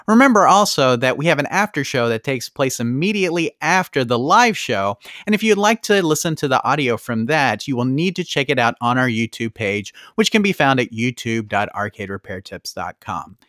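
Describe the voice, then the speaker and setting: A man's voice: mid-range voice, expressive, moderate pace, few pauses, fairly bright tone. A man co-hosting and producing a live podcast, chatting casually and reading out viewer messages in an upbeat, announcer-like way.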